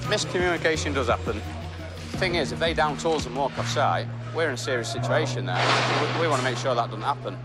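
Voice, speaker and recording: A man talking firmly and colloquially as a works supervisor, unscripted. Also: medium pitch. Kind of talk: unscripted interview talk. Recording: loud music under location sound.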